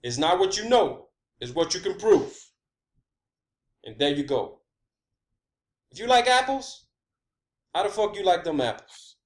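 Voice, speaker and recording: A man giving an informal, emphatic solo explanation, swearing freely and repeating phrases for stress. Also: fairly high pitch. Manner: checks in often with "okay" and "all right". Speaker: video creator presenting own theories. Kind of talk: informal monologue rant and explanation.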